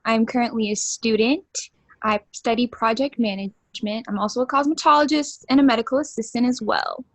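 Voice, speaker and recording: Podcast co-host: woman in her 20s, from Hawaii, upbeat and blunt, voice a little on the high side. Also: a little fast. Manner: blunt, cheerful, swears freely. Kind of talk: casual chat.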